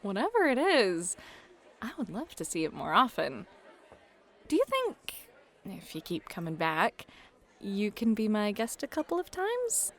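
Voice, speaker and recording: A woman playing a playful, flirty club hostess and game master in an ASMR roleplay, bubbly, teasing and expressive. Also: medium pitch, a little slow, full character voice. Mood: warm, flirty. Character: playful, flirty club hostess running tabletop adventure games. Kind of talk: scripted ASMR roleplay, acted. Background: background music.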